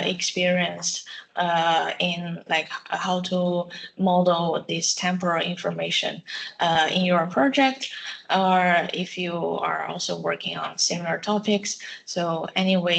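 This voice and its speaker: A woman giving an academic conference presentation, pausing often with filler sounds. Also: fairly low pitch, creaky, rough recording. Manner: halting, many filler sounds. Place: seminar room.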